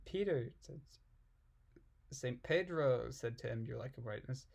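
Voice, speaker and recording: A man's voice: mid-range voice, expressive, unhurried, vocal fry, a little mellow. A man reading aloud and commenting casually in an irreverent, giggly, puzzled manner. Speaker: amateur reader and commentator.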